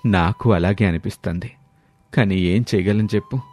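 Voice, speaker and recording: A man with a low, mellow voice plays a hardworking husband in a storybook drama. He talks earnestly and gently, and soft music runs underneath. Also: normal speed, breathy edge. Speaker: voice actor playing the husband.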